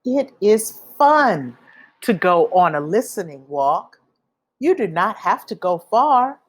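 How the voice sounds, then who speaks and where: A woman reading a children's picture book aloud, with expressive, deliberate phrasing for young listeners. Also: medium pitch. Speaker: read-aloud storyteller.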